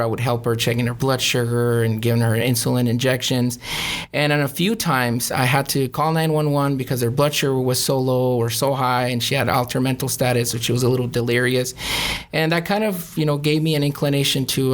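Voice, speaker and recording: Earnest man. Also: medium pitch. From Mexicali, Mexico and Imperial County. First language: Spanish. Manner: earnest, plain-spoken, unhurried storytelling. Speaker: podcast guest, nurse practitioner. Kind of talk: casual interview talk.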